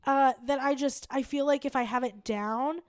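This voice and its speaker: A woman's voice: high-pitched, moderate pace, dry recording, studio quality. A woman of thirty co-hosting a comedy podcast, rambling casually with lots of swearing and laughter. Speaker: podcast co-host.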